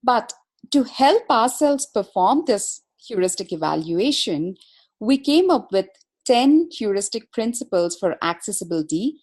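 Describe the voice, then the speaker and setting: A woman's voice: a little high, very animated, measured pace, darkish tone. A woman presenting a webinar as a consultant, explaining material step by step in a formal, methodical way.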